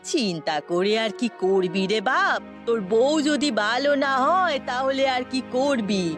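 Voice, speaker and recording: A woman's voice: on the high side, expressive, measured pace. A woman playing a sly, meddling older village aunt in an audio melodrama, gossipy and insinuating. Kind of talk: melodramatic Bengali audio story acting. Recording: music under the voice.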